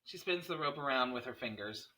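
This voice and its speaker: A man's voice: mid-range voice, animated, brisk, sharp tone, a little hiss or hum, rough recording. A man narrating stage directions in a scripted screenplay table read.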